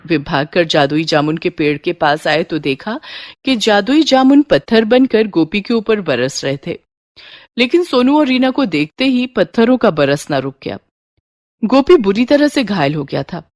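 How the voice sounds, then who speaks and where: Female storyteller for scripted moral tales, animated intonation, studio-dry sound. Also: medium pitch, moderate pace, no echo.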